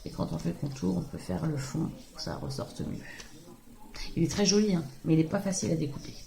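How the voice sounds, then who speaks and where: A woman with a hoarse voice from a cold, chatting casually and rambling with many fillers as she shows off her craft purchases at home. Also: sits low, lively intonation, a little fast, warm tone. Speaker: craft video host. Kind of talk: casual show-and-tell haul.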